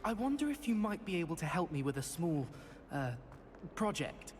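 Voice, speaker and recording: A jittery young wizard student. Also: male voice, on the high side, sing-song, moderate pace, faint music behind. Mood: anxious. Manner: hesitant, fussy and self-conscious. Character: nervous, self-deprecating young male wizard student. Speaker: game character. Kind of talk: video game dialogue.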